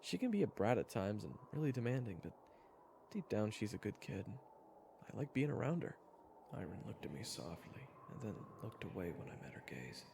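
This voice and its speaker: A man's voice: lowish voice, very animated, a little fast, creaky, darkish tone, a little hiss or hum. A man playing an earnest, easily flustered young fantasy hero who also narrates in the first person, in an audio drama. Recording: echoing hall reverb, music behind.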